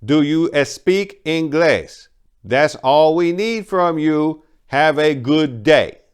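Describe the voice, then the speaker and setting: A man's voice: fairly high pitch, lively intonation, takes it slowly, clean tone, pitched above the performer's own voice. A man voicing a surly, dismissive woman police desk clerk in a story, curt, irritated and bossy. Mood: curt, scornful.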